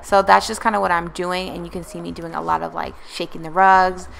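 A woman's voice: on the low side, level tone, a little fast, creaky, a bit bright, a little hiss or hum. A young woman vlogger talking casually and encouragingly in a voice-over about cleaning her home, with many fillers. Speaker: lifestyle vlogger.

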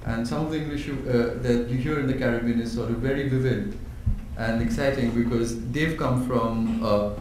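A man's voice: medium pitch, moderate pace, hardly any pauses. Indian-born man, panel author, eager and stop-start; roomy hall with light hiss. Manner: lively, restarting, enthusiastic. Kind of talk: panel discussion. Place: event hall. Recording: echoey hall with faint noise.

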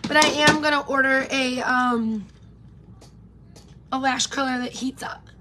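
A woman's voice: high voice, fairly even intonation, unhurried, noisy background. A woman in her thirties chatting casually while doing her makeup on a live stream, her voice worn and going. She rambles and greets viewers by name. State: losing her voice. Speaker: beauty vlogger. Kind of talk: casual get-ready-with-me live chat. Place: home room with pink neon lights, indoors. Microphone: camera in front at close range.

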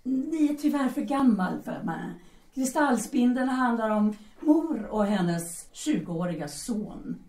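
A woman's voice: fairly high pitch, very animated, a little mellow, distant mic. A woman playing a frank, self-possessed and provocative writer in a period audio drama, with a teasing, direct manner. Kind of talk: Swedish audio drama acting. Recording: echoey room, off the mic.

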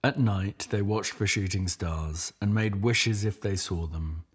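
A middle-aged man reading a picture book aloud with measured, expressive storytelling and a husky voice. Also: low voice. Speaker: storybook reader.

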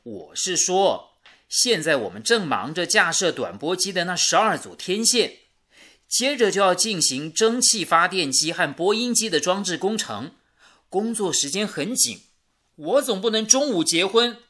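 Bright, earnest young engineer. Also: male voice, high-pitched, expressive, measured pace, heavily acted. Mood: defensive, reasonable. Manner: earnest, explanatory. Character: principled, earnest young radio engineer, patient and sensible. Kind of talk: radio drama dialogue.

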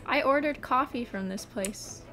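A young woman chatting excitedly with friends while playing a game, often gasping and laughing. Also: high voice, measured pace. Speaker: player. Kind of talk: casual gaming chat. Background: game music.

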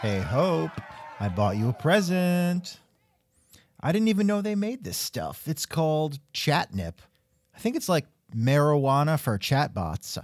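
A man's voice: fairly high pitch, big pitch swings, measured pace. A man hosting a scripted comedic interview, with a wry, joking manner. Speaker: podcast host and interviewer.

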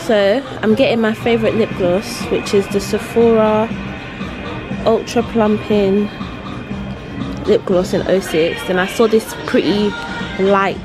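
A woman's voice: mid-range voice, steady tone, unhurried. Young woman, London accent, casual vlog chat, slangy, music behind. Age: 20s. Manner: chatty, slangy. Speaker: vlogger.

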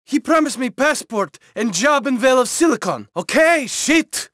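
An actor playing a naive, eager Russian defector in a video game, with a Russian accent and broken English. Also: male voice, extremely high pitch, expressive, normal speed, a bit bright, dry recording, studio quality. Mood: eager, nervous. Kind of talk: video game mission dialogue.

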